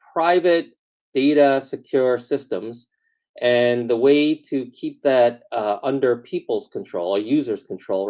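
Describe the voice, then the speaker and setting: A man raised in New York City after coming from Korea, speaking as a founder in an interview. He talks at a mid pitch with frequent uh and um pauses. The audio sounds slightly dull and muffled. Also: darkish tone. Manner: hesitant, many uh and um fillers. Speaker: tech company founder, guest. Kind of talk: interview answers on a remote call.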